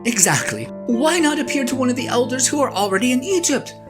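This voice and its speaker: Woman acting as flustered shepherd husband, audio drama, stammery and earnest, pitch all over the place, guitar music behind. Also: sing-song. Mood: self-doubting, protesting. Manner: halting, stammering, earnest, self-correcting. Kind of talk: one-sided audio drama monologue, conversational and comic. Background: plucked guitar music.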